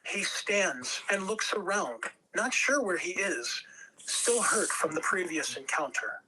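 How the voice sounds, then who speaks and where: A woman's voice: fairly low pitch, sing-song, a little slow, background noise. A woman reading scripted narration at a table read, telling the action in a dramatic storytelling way. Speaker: script reader.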